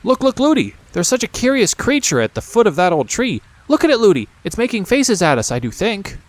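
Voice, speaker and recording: A man voicing a small, chattering princess girl who talks eagerly and high. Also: huge pitch swings, brisk, dry recording. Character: small frightened-curious little girl princess.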